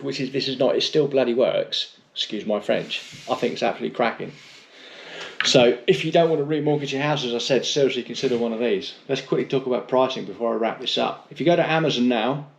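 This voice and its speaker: A man's voice: mid-range voice, gravelly, lo-fi sound, a little hiss or hum. A middle-aged British man giving a casual, unscripted product review in a blunt, chatty, rambling way with mild swearing. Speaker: motorcycle vlogger reviewing gear.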